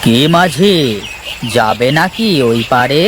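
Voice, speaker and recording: A man acts a wandering minstrel's ghost in a cartoon story. He is knowing and gentle, stretching words slowly with a soothing, mysterious air. Light background noise surrounds the voice. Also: mid-range voice, sing-song. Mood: casual. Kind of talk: cartoon voice acting.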